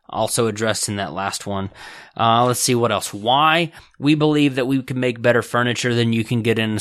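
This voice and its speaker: A man hosting a business podcast, explaining website setup in a casual, conversational way with folksy asides and quick self-corrections. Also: medium pitch, a bit bright, no room sound, clean studio sound. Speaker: podcast host and business author. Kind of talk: casual instructional podcast talk.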